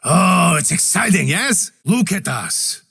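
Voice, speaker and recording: A hearty, dauntless adventurer man from a video game. His voice is husky and sits fairly high. He speaks with theatrical courtesy and very lively swings in pitch. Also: slow, exaggerated voice. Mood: jovial. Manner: theatrical, courteous, punctuated with tag questions. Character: hearty, gentlemanly adventurer and fighter, jovial, dauntless. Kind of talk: video game character voice lines.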